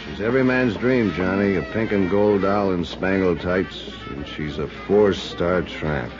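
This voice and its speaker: An actor playing a world-weary, bitter man who speaks slowly in a 1950s radio drama, on an old recording. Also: deep voice. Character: bitter lovesick man, cynical and brooding. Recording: lo-fi vintage radio with music.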